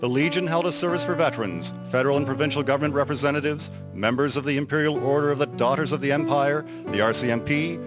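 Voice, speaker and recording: Formal male narrator over guitar music. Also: medium pitch. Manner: formal, measured reading. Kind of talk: scripted narration. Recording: music behind voice. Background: plucked guitar music.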